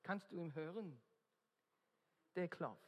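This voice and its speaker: An Australian man preaches with earnest emphasis, stumbling now and then over German as a second language. He talks slowly and deliberately. His voice rings out in a large hall with a long, trailing reverb. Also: fairly high pitch, expressive, husky. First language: English. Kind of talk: evangelistic sermon.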